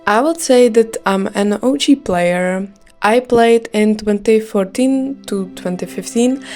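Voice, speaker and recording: Mellow-voiced Czech woman narrating. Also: on the high side, takes it slowly, soft background music. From Czech Republic. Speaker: gaming YouTuber. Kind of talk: scripted video narration.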